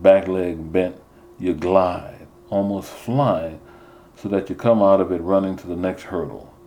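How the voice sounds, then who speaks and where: A man's voice: low-pitched, mellow. A man voicing a stern male track coach who gives firm, insistent instructions. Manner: commanding, insistent.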